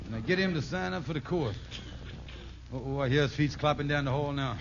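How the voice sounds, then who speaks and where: A man's voice: on the high side, lively intonation, brisk, vocal fry. A man playing a scheming, henpecked con-man husband in a 1940s radio sitcom, folksy, wheedling and blustering. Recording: dull, noisy old radio recording.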